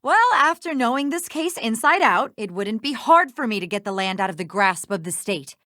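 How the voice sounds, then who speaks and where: A woman's voice: fairly high pitch, lively intonation, moderate pace, bright, forceful tone, dry recording, studio quality. An actress playing a smug, defiant woman schemer in a mystery video game, haughty and self-righteous. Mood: boastful.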